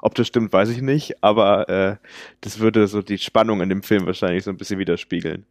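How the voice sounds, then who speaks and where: A man's voice: fairly low pitch, fairly quick. Casual male podcast host. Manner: relaxed, loose questioning with fillers. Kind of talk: casual interview.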